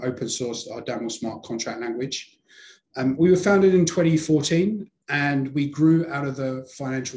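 A man's voice: medium pitch, a little mellow, reverberant, poor audio. A man with a London accent giving a webinar presentation over a video call, hesitant with many fillers. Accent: London English. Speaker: sales presenter.

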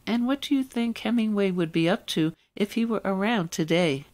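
A woman's voice: medium pitch, normal speed, darkish tone. A woman radio host presenting and interviewing in a prepared broadcast style.

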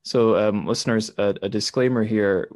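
A man's voice: on the low side, fairly even intonation, normal speed, darkish tone, no room sound, clean studio sound. A man hosting a podcast interview over a video call, casual and hesitant, with many fillers and restarts. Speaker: podcast host. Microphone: home mic on a video call.

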